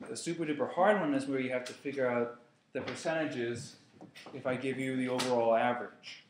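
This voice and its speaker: A man's voice: mid-range voice, fairly quick, recorded from a distance. A man teaching a chemistry review lesson to his class, playful and joking with students. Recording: echoey classroom with noise.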